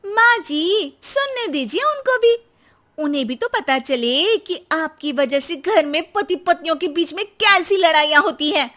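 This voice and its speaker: Sharp-tongued young wife played by a woman, shrill-high and unhurried, complaining through a moral cartoon tale. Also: lively intonation, exaggerated voice. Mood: irritated, complaining. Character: sharp-tongued, complaining young daughter-in-law in a family quarrel. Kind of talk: dramatised Hindi moral cartoon story.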